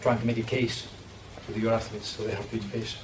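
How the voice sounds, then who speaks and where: A middle-aged man with a Greek accent talks earnestly in a roundtable discussion, pausing often on fillers and starting sentences again. He is picked up from a distance in a reverberant event room. There is steady background noise behind him. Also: fairly low pitch. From Greece. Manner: earnest, thoughtful, with frequent fillers and restarts. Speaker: panelist working with athletes. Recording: distant mic in an echoey, noisy room.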